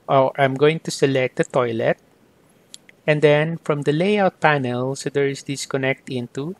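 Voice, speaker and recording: A man teaching software step by step in an explanatory screen-recorded lesson, often starting sentences with "so". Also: medium pitch, dry recording. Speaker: software tutorial instructor.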